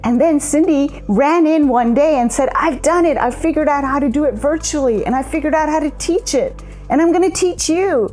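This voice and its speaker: Woman, co-founder, measured explainer, fairly high voice, soft music behind. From immigrant. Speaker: co-founder of a coaching method. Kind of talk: scripted promotional conversation.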